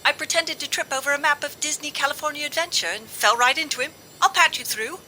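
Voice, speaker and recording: Chirpy dotty scientist, played by a woman: very shrill tone, earnest rambling, comic radio play, faint noise. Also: quick pace, pushed and bright, poor audio. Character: chirpy, scatterbrained female scientist informant. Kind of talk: scripted comedic audio drama acting.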